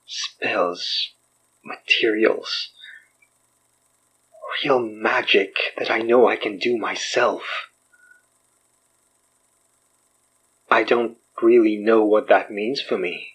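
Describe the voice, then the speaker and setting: A man's voice: fairly low pitch, steady tone, slow pace, fairly bright tone, husky, exaggerated voice, low-quality recording. An actor playing a wry, self-deprecating man in a naturalistic audio drama, joking and rambling as he talks. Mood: shaken, earnest. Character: wry, self-deprecating man, recently freed from possession, fake guru healer.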